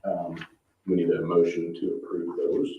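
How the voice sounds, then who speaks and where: A man with a very low voice chairs a town board meeting in an informal way. He sounds distant from the microphone in an echoey room, and the sound is slightly muffled. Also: completely flat delivery, moderate pace, warm tone, a little hiss or hum. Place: indoor public meeting room.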